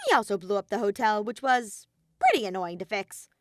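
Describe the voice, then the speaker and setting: A woman's voice: mid-range voice, sing-song, a little fast, dry recording, clean studio sound, close to an everyday voice. A woman voicing a mischievous, sadistic male robot bear in an audio story, flippant and taunting. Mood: flippant, grumbling. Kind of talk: fan fiction audio story character voice.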